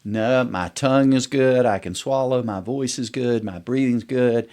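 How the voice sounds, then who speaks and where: A man's voice: mid-range voice, measured pace, darkish tone. A middle-aged man speaking as an allergist guest on a podcast, explaining things conversationally with illustrative examples.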